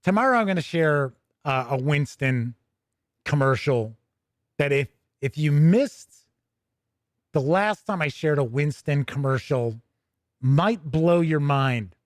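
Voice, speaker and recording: Higher-pitched American man, casual streamer. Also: animated, takes it slowly, dry recording, clean studio sound. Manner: chatty, conversational, with playful asides. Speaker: host of a tabletop gaming show. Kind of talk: casual livestream commentary.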